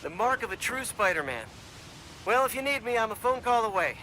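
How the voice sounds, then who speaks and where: A breezy hero mentor. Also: male voice, very high voice, big pitch swings, brisk, sharp tone, slight background noise, heavily acted, rough recording. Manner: breezy, joking, encouraging. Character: friendly young adult male superhero mentor. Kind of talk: video game cutscene acting.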